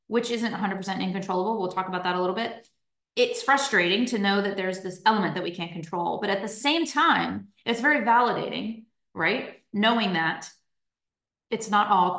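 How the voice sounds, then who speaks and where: A woman's voice: medium pitch, a bit bright. A woman in her thirties, a strength coach, talking casually and upbeat to her online group, with lots of fillers and tag questions. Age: 30s. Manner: chatty, upbeat, many fillers and "right?". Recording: roomy echo.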